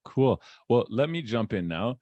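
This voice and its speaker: A man's voice: medium pitch, lively intonation, measured pace, a little mellow, no room sound, muffled recording. A man hosting a podcast, asking questions in a casual interview style.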